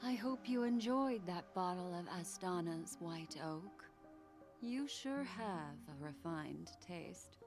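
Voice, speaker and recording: An actress playing a mysterious, courtly memory keeper in a video game, speaking with elegant, formal, faintly teasing poise. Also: female voice, fairly low pitch, lively intonation, takes it slowly, music in the background. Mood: silky politeness.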